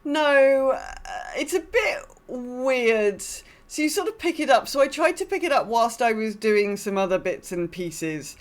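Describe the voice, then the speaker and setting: A woman's voice: on the high side, very animated, a little slow, few pauses. A woman co-hosting a podcast, casually recapping her gaming in an understated, conversational way. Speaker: podcast co-host. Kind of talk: casual chat about an online game.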